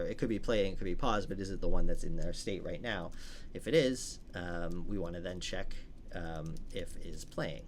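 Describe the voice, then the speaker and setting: A man's voice: sits low, a little hiss or hum. A man giving a casual, informal step-by-step coding walkthrough as a tutorial instructor. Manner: informal, explains step by step while working.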